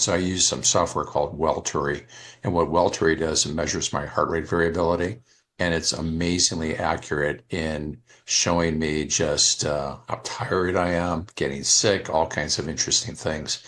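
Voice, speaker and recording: Guy w a deep voice chatting on a group call, stops and restarts a lot. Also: fairly bright tone. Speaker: participant asking questions and commenting. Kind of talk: casual remarks on a group call. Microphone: online call, off camera.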